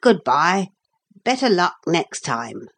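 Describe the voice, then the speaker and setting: A woman's voice: on the low side, sing-song, takes it slowly, husky, full character voice. A woman voicing a kindly, courteous male captain of the guard in a storybook, speaking plainly.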